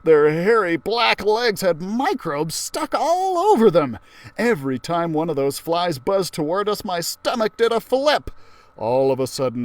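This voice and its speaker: A man reading a children's storybook aloud in an expressive way, acting out the characters' lines as he goes. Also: sits high, no room sound.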